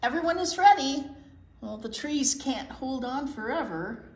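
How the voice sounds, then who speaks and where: An American woman reading a picture book aloud to young children, drawing out words expressively and adding chatty asides. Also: high-pitched. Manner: expressive, drawn-out storytelling. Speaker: children's storytime teacher. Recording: echoey room with clear background noise.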